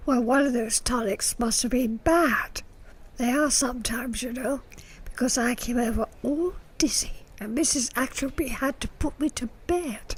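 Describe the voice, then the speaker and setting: A woman's voice: on the high side, moderate pace, husky, rough recording. A woman playing a pompous, easily affronted middle-aged saleswoman in a radio sitcom, mock-refined with coarse slips. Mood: gossipy. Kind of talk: radio play sitcom comedy acting.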